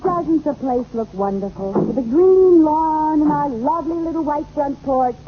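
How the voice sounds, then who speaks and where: An American actress playing a vain, playful, wisecracking suburban wife in an old radio comedy. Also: female voice, high voice, normal speed, soft background music, close to an everyday voice. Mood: playful sleuthing. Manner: theatrical, wisecracking. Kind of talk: 1940s-50s radio comedy acting. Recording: muffled, noisy old radio recording.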